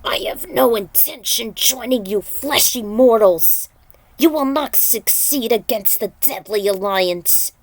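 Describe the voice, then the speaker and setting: A woman's voice: fairly high pitch, measured pace, no echo, poor audio. A woman voicing a proud, bitter male demon in a story, scornful and declamatory. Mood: defiant, scornful.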